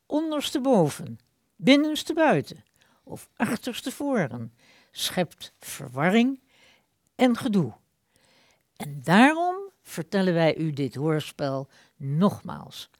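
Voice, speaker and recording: A woman narrating a radio play from a script, addressing listeners as a measured, teasing storyteller. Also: low voice, dry recording, clean studio sound.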